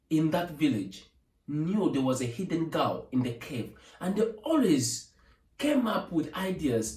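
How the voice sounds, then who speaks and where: A man with a Ugandan accent tells a folktale informally as a storyteller, pausing to explain and translate as he goes. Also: fairly high pitch. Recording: echoey room, distant from the mic.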